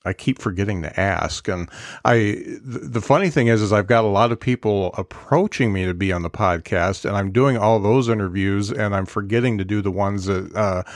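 A man in his fifties hosts a live-streamed podcast in a somewhat low voice. The sound is dry and close, with no room echo. He talks in a halting way, full of ums and restarts. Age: 50s. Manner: hesitant, many fillers and restarts. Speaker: podcast host. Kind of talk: casual interview chat.

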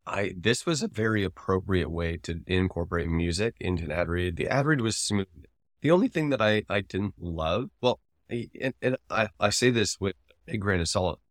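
A man's voice: sits low, animated, normal speed, dry recording, clean studio sound. A man co-hosting a podcast, talking casually and thoughtfully as he weighs up his opinions. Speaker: podcast co-host. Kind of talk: casual discussion and review of ads.

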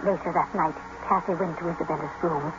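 Female storyteller played by an actress for vintage radio drama: grave, measured, dull-sounding broadcast. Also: low voice, steady tone, natural voice. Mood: somber. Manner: measured, somber. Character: grave female storyteller recalling a dark romance. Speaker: narrator. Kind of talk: scripted radio drama narration. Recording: muffled old radio broadcast, music.

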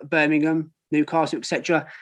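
Quick-talking London man hosting. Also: fairly high pitch, little pitch movement. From London, England. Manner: chatty, matey, lots of ums. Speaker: podcast host. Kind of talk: casual chat.

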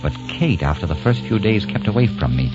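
An actor playing a romantic, earnest American gentleman in a 1940s radio drama, with a courtly, dramatic delivery. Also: on the low side, big pitch swings, brisk. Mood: yearning. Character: romantic American time traveler posing as an English cousin. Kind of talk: 1940s radio acting, first-person narration and dialogue. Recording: dull 1940s radio recording with music.

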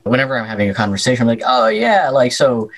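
A young man with an American Midwestern accent, a journalist chatting as an interview guest and telling stories in a lively, playful way. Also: mid-range voice, quick pace. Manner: animated, slips into playful voices and accents. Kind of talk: casual conversational storytelling.

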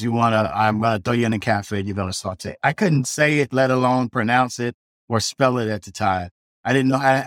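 A middle-aged chef tells his story in a loose, rambling way, full of restarts and "you know". He speaks at a middle pitch. The sound is dry, with no room around it. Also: no echo. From South Side of Chicago. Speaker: chef guest. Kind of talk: casual storytelling interview.

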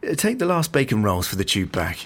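An actor playing a calm, cautious investigating agent in an audio drama, measured and understated. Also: male voice, on the low side, exaggerated voice. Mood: calm, guarded. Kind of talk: naturalistic audio drama acting.

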